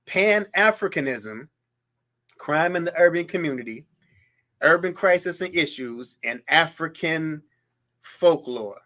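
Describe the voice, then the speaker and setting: A man's voice: fairly high pitch, animated, measured pace, pushed and bright. A man hosting a history show, reading a biography aloud and breaking off into incredulous, mocking commentary on clips. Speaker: show host.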